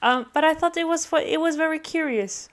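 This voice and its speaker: A woman's voice: sits high, brisk. A woman giving casual reaction commentary on a game, musing aloud hesitantly and often repeating herself. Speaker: gaming reaction video creator.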